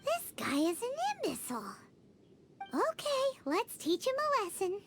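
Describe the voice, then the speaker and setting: A woman voicing a tiny floating fairy companion who is bossy and bratty, with a high squeaky childlike voice and a habit of speaking of herself in the third person. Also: huge pitch swings, a little slow, heavily acted. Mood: scornful. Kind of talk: video game voice acting. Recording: game audio with loud music behind.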